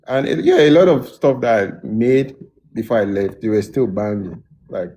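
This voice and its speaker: A man's voice: lowish voice, normal speed, slight background noise. A man, a music producer, telling his story in a casual interview with a lot of restarts. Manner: relaxed, loose storytelling with many restarts.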